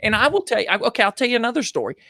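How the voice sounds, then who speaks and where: High-voiced animated man. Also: rapid-fire, creaky, no room sound. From United States. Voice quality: higher-pitched male voice. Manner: animated, emphatic, frequent restarts. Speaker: podcast guest. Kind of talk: enthusiastic interview storytelling.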